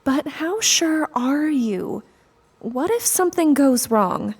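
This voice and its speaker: Nervous sci-fi crewmate: high-pitched, slow, hesitant, full of doubt. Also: female voice, lively intonation, heavily acted. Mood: worried. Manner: hesitant, questioning. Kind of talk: audio drama acting.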